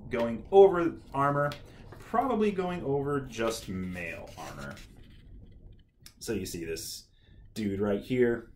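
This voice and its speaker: A man's voice: lowish voice, slight background noise. A young man hosting a history video, talking casually to camera in a small room, with plenty of ums and an enthusiastic, nerdy tone. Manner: relaxed, many ums and uhs, nerdy enthusiasm. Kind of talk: casual educational talk to camera. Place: small room indoors, dark backdrop.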